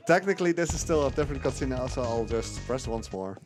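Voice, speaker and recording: A man giving live speedrun commentary, relaxed and laughing often. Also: medium pitch, a little fast, over music.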